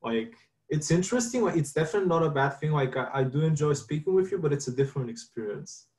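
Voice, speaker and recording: Bulgarian guy, first language bulgarian, guest on a chat, thinks out loud, trips over words some. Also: on the high side, big pitch swings, fast. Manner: thoughtful, hesitant, stammers on restarts. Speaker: interview guest. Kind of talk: casual video-call interview.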